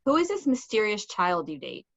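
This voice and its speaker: A woman's voice: medium pitch, sing-song, moderate pace. A woman playing a peppy nineteen-year-old younger sister in a table read, needling and incredulous. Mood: incredulous, teasing. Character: cute, peppy teenage barista, scolding younger sister. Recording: dull, lo-fi microphone sound.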